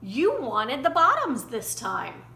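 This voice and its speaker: A woman's voice: a little high, huge pitch swings, takes it slowly, vocal fry, bright, forceful tone, full character voice. A woman voicing a chipper, crafty male hare in a children's storybook, coaxing in a perky, sing-song way. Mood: cheery. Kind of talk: children's picture-book character reading. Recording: roomy echo, faint background noise.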